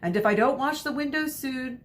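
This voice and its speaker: A woman's voice: normal speed, lightly acted. A woman reading the part of a fond, house-proud mother in a storybook, lightly scolding. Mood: mock scolding. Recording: noisy with low rumble.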